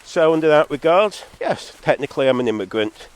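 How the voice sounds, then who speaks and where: A man's voice: expressive, a little fast. A man full of a head cold delivers a rambling, argumentative vlog monologue with sardonic asides, on a distorted recording that clips. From Zambia-born, living in England. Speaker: solo video maker. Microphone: phone or camera, recorded too hot. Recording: distorted, clipping from high recording level.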